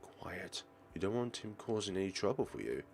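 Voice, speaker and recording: A man's voice: low-pitched, big pitch swings, normal speed, darkish tone, a little hiss or hum. A man voicing a friendly, caring teenage schoolgirl best friend in a visual novel. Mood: hushed warning.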